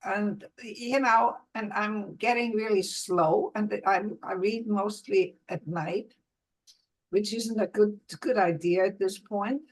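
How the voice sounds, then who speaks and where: An older woman in a virtual book club, speaking haltingly and searching for words over a video call. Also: medium pitch, fairly even intonation, low-quality recording. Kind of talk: casual group discussion.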